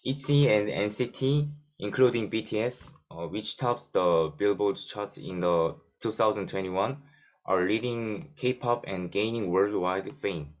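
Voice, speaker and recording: A Korean man in his twenties with a Korean accent, giving a prepared presentation in English with frequent uh fillers. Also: on the low side. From South Korea. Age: 20s. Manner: reads slowly with frequent uh fillers. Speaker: student forum presenter.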